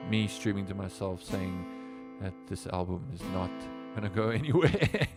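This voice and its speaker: Gravelly South African man, rambling. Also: low voice, lively intonation, a little slow, music in the background. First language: Afrikaans. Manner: rambling, with many fillers and restarts. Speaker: musician. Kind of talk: casual livestream storytelling.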